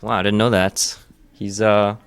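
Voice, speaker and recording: A man's voice: fairly low pitch, flat delivery, a little slow, clear, resonant tone. A man interviewing someone informally, with short questions and teasing remarks. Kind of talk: casual interview chat.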